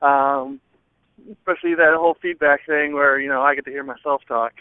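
A man caller leaving a casual, rambling voicemail in hesitant, halting phrases over a phone line. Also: a little high, steady tone, clear, resonant tone, dry recording. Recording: thin, dry phone line.

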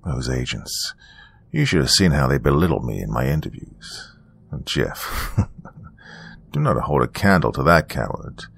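A man voicing a charming but menacing serial killer in a thriller story, deep-voiced, smooth, taunting and boastful. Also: big pitch swings, a little slow, a bit bright, dry recording, full character voice. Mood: gloating.

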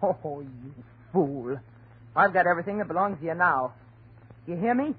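An American actress playing a scornful, shrewish wife in a 1930s radio horror drama, with mocking, theatrical delivery. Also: female voice, lowish voice, animated, slightly rough, phone-quality sound. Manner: mocking, drawn-out taunts with theatrical outbursts. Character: scornful, shrewish wife turning hysterically into a cat. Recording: scratchy, band-limited 1930s radio recording.

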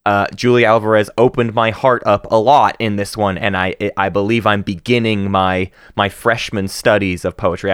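A man in his thirties co-hosting a casual book podcast, talking with animated energy, laughing and often restarting his sentences. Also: fairly low pitch, clean tone, no echo, clean studio sound. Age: 30s. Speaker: podcast co-host.

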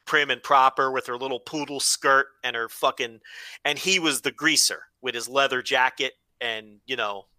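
A man's voice: medium pitch, moderate pace, bright, forceful tone, no echo. A man co-hosting a casual comedy chat about films, joking and swearing as he rambles along. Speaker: podcast co-host.

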